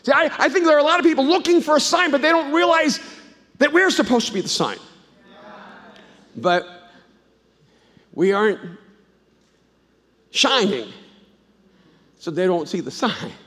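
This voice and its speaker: High-pitched pastor preaching in a reverberant hall. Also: male voice, lively intonation, unhurried, pauses often. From El Salvador. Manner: swings from loud emphatic bursts to low intimate tones; conversational storytelling with repeated restarts. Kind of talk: sermon.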